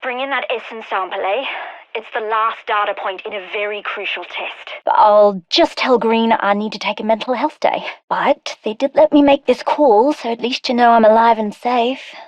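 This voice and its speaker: An actress playing a brilliant, wry and determined scientist in a video game, speaking articulately in scripted lines and journals. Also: female voice, a little high, normal speed, no echo, close to an everyday voice, poor audio. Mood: urgent, businesslike, then reflective, uneasy. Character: brilliant wry female scientist, guilt-ridden, urgent and determined. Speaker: scientist character.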